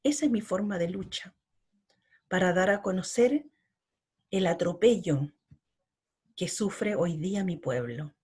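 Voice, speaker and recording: A middle-aged woman from Chile, a poet being interviewed, speaking slowly and earnestly and often repeating her words. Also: lowish voice, lively intonation, darkish tone, slightly rough. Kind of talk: reflective interview talk.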